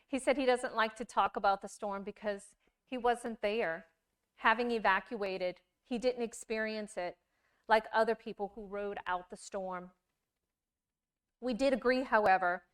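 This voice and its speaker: A woman giving a scripted storytelling performance, with deliberate, measured phrasing and dramatic pauses. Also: medium pitch, clear, resonant tone. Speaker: monologue performer.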